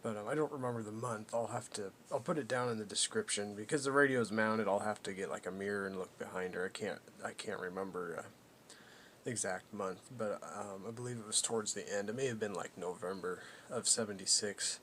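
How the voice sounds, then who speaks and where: A man giving a casual, unscripted product walkthrough as a hobbyist, haltingly thinking aloud as he goes. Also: lowish voice, a bit bright, a little hiss or hum. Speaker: hobbyist presenter demonstrating a vintage CB radio.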